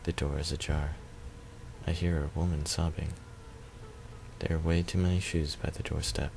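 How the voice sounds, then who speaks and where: Male reader, extremely low and creaky, subdued measured narration for a visual novel. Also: flat delivery, darkish tone, a little hiss or hum. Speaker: let's player reading a visual novel. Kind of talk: reading narration aloud.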